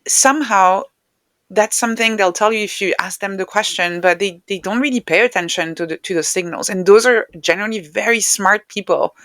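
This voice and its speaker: A woman's voice: mid-range voice, a bit bright, no room sound. A woman speaking as an interview guest, explaining ideas in a reflective, conversational style. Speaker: interview guest, neuroscientist and author.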